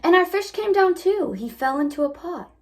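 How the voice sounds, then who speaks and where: A woman reading a children's picture book aloud in an animated, expressive style, as a teacher does. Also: very high-pitched, normal speed.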